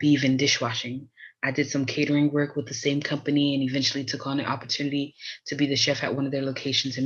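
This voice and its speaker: A woman's voice: very deep voice, a bit bright, breathy edge, highs cut off. An American woman from Miami hosting a podcast, telling personal stories in an introduction. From Miami, USA. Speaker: podcast host.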